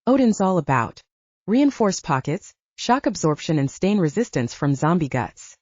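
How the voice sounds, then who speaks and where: A woman's voice: fairly low pitch, very animated, normal speed, a little mellow, dry recording, dull audio. A woman voicing a brash, teasing male werewolf pack leader with a sarcastic, needling manner, in an audiobook. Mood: indignant.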